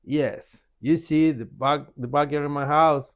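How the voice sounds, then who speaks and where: A man's voice: a little high, steady tone, moderate pace, clear, resonant tone. A man from Argentina with an Argentine Spanish accent, talking as a podcast guest in a casual, emphatic, repetitive storytelling way. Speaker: podcast guest, author and shaman.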